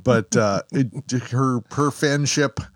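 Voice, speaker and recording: A man's voice: mid-range voice, slow pace, no echo. A man co-hosting a podcast in casual banter, joking and rambling with lots of restarts. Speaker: podcast co-host. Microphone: headphones and mic stand.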